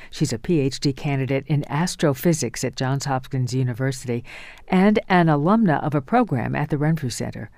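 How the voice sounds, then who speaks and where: A woman hosting a radio interview show, asking calm, measured questions. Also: deep voice, dry recording, clean studio sound.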